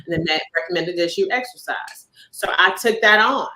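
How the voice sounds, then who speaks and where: A woman hosting a casual interview chat, animated and repeating phrases for emphasis. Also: fairly low pitch, brisk, low-quality recording. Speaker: podcast host.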